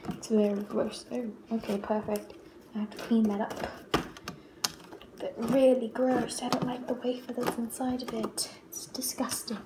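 A woman's voice: on the high side, level tone, moderate pace. A teenage girl from Australia chatting casually to camera as a vlogger, hesitant and rambling, often repeating herself. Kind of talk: casual question-and-answer chat to camera. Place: small bare-walled room at home. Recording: roomy, loud background noise, clicks.

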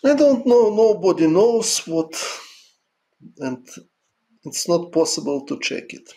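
Ukrainian guy on a chess stream, slow halting english, lots of uh's, gravelly. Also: high voice, sing-song, mellow. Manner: halting, broken English with many fillers and restarts. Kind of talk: casual livestream chat answering viewers.

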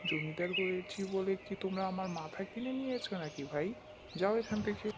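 Man playing a stingy, scolding landlord, high voice. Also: expressive, a little fast, highs cut off, very noisy. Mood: scornful. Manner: scolding, dismissive. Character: callous, stingy, irritable male village landlord. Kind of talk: Bengali cartoon character voice acting.

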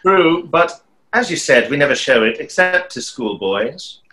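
Guy playing suave stage magician, sharp bright voice, dry polite sarcasm, play reading. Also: a little high, lively intonation, a little slow. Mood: politely mocking. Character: suave, wry stage conjurer trading polite barbs. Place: small room, video call.

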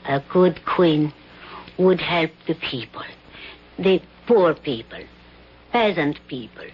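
An earnest peasant maid, broken english, very slow, low and breathy, dull old radio sound. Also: female voice, lively intonation, full character voice. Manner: halting broken English, pleading. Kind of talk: 1970s radio drama acting. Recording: dull lo-fi vintage radio broadcast.

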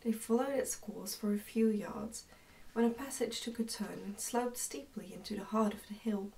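A young woman reads a storybook aloud for ASMR. Her voice is relaxed and mellow, with a soft, whispery, careful delivery. She is recorded indoors, a little way off from the microphone. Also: mid-range voice, moderate pace, creaky, far from the mic. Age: young adult. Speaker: ASMR narrator reading a book aloud. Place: indoors, small plain room.

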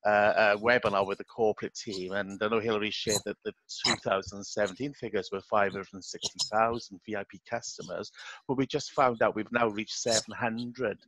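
A man with a slightly hoarse voice, giving a conversational sales presentation on a webinar. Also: lowish voice, fairly even intonation, brisk, a bit bright, no echo. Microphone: online webinar microphone. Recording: dull lo-fi webinar microphone.

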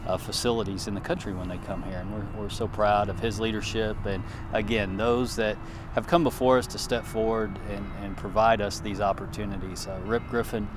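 A man's voice: fairly low pitch, steady tone, noisy background. A middle-aged man, an athletics director, answering interview questions outdoors at a ballpark in an earnest, praising way. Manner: earnest, praising, measured with fillers. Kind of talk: on-camera interview. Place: outdoors at a baseball field. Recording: music bed underneath. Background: music.

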